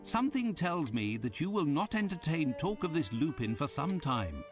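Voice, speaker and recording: A man playing a long-winded, excitable gentleman in a video game, with a pompous, theatrical delivery. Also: fairly high pitch, normal speed. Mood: gossipy, amused. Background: game music.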